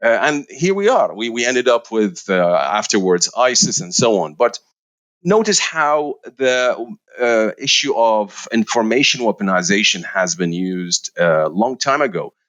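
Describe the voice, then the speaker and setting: A man's voice: fairly low pitch, sing-song, measured pace, fairly bright tone. A middle-aged man from Iraq, a researcher answering interview questions with many fillers and restarts. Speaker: researcher guest.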